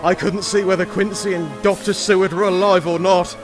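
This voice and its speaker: A man's voice: sits high, steady tone, fairly quick, music in the background, natural voice. A man playing a defiant young husband, acting and narrating intensely in a gothic audio drama. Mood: defiant, desperate. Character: desperate, defiant young husband battling a vampire.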